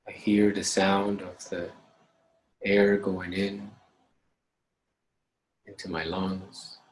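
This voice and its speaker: Low, slow-paced man guiding meditation. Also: slight background noise, poor audio. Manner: calm, unhurried, with short measured phrases and long pauses. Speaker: meditation instructor.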